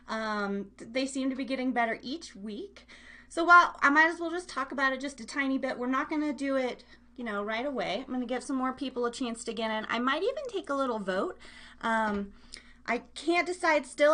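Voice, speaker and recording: A woman's voice: sits high, fairly bright tone. A woman hosting a live internet radio show in casual, scattered chat, speaking straight to her listeners. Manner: chatty, scattered, addresses listeners directly. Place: indoors at home.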